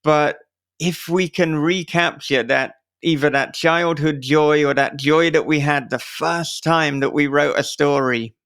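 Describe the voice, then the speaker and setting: A man hosts a reflective interview at an unhurried, slow pace. His voice is clean and smooth yet noticeably creaky at the edges, and he sounds earnest and thoughtful. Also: on the high side, steady tone, fairly bright tone, no room sound, clean studio sound. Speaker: podcast interviewer and host.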